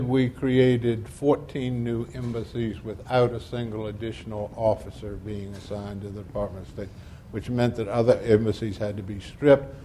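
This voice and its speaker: A man's voice: medium pitch, darkish tone, slight background noise. An older man on a panel answering an audience question, telling anecdotes with wry asides and acting out quoted exchanges. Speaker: panelist, former diplomat. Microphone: panel microphone.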